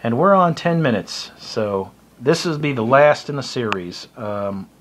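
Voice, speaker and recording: A middle-aged man with an American accent speaks in a mid-pitched voice, close to the device in a small room. He delivers a casual, opinionated monologue. His manner is blunt and wry. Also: lively intonation, a little slow, a bit bright, a little hiss or hum. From United States. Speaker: hobbyist video blogger. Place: small indoor room by a window. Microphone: phone or webcam close to the face.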